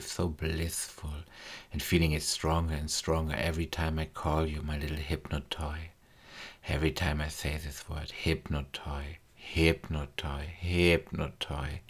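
A man speaking as a hypnotist in a trance recording, slow and soft-spoken, often sinking into whispers with coaxing, repetitive phrasing. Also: very deep voice, slightly rough.

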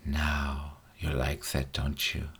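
A man whispers hypnotic suggestions in a breathy, airy hush that sits extremely low and barely moves in pitch. He talks slowly, repeating soothing phrases like an ASMR hypnotist. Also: monotone. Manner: slow, soothing, repetitive suggestions.

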